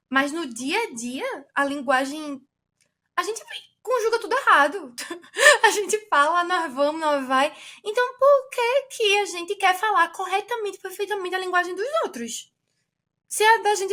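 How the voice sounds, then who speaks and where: A Brazilian woman co-hosting a podcast, speaking animatedly and emphatically in casual chat. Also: extremely high pitch. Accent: Brazilian Portuguese. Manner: animated and emphatic, lively rises. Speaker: podcast co-host.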